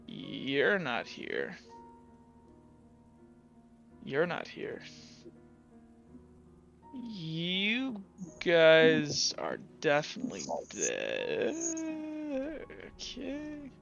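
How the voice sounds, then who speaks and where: A man narrating a tabletop roleplaying game as game master, informal and halting, pausing to think. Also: a little high, gravelly, fairly bright tone. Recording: slightly dull audio with faint music. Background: faint music.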